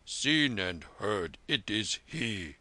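A man reading aloud, voicing a stiff old retired soldier who speaks slowly and gravely. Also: on the low side, sing-song, bright, forceful tone, no room sound, exaggerated voice. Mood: awed.

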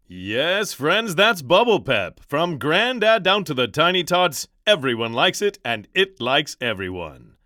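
A man's voice: fairly high pitch, very animated, a little slow, hardly any pauses, sharp tone, no echo, clean studio sound, full character voice. An actor playing an emphatic 1930s radio sponsor announcer with a showy, old-fashioned salesman's delivery. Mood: cheery, salesy.

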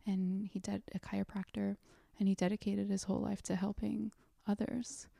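A young woman from South Korea, speaking as an interview guest in a soft, thoughtful way with frequent pauses. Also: medium pitch, level tone, vocal fry, no echo, clean studio sound. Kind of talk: reflective interview talk.

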